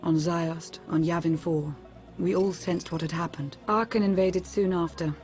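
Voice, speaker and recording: An actress playing a measured, analytical advisor in a video game, composed and formal. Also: female voice, on the low side, steady tone, over loud music, natural voice. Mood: grave explaining.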